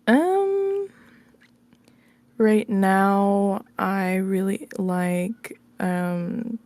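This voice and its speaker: A woman's voice: mid-range voice, a little mellow, dry recording. A woman speaking casually as a podcast guest, with a playful, giggly way of talking. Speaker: podcast guest, ASMR roleplay creator. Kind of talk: casual chat.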